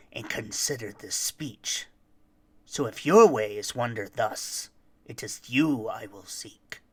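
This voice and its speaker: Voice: mid-range voice, a bit bright, slightly rough, pitched below the performer's own voice, heavily acted. A performer voicing a stern, menacing holiday monster who punishes naughty children, speaking in a solemn, commanding storybook manner.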